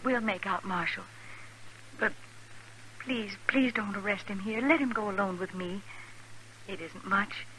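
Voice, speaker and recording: Determined western bride-to-be, American, middle-pitched, earnest and imploring, 1950s radio drama, slightly noisy recording. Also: female voice, measured pace, long pauses, pushed and bright. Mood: anxious pleading. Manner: earnest, urgent pleading. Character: pleading, determined frontier bride-to-be.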